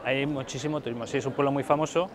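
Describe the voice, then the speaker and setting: A man's voice: medium pitch, fairly even intonation, fast talker, a crowd in the background. A man from Spain with a Spanish accent, giving a casual, explanatory guided tour outdoors as a featured guest. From Spain, Aragon. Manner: conversational, explanatory. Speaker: featured guest showing the area. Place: outdoors, airfield and village streets.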